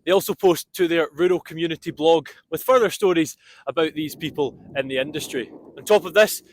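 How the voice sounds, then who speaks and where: A man with a slightly raised voice reading a sponsor thank-you from a script. He keeps an even, measured delivery throughout. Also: on the high side, slight background noise. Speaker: podcast host reading a sponsor message. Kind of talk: read sponsor message.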